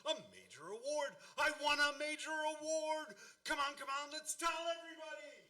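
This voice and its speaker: An actor playing an excitable, blustery middle-aged father in a comedic radio play, eager and proud as a child, often repeating words. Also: very high-pitched, moderate pace, heavily acted. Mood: triumphant. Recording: distant microphone.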